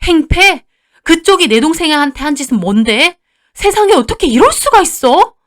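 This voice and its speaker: A woman voicing a fiercely protective older sister in a dramatized story, shouting and confrontational. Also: sits high, very animated, moderate pace, voice pushed up. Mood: outraged.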